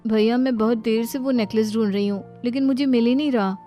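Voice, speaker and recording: A woman's voice: fairly high pitch, fairly even intonation, a little fast, warm tone, soft background music, close to an everyday voice. A woman voicing an earnest, sensible young elder sister in a cartoon family drama. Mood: worried. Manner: earnest, explaining.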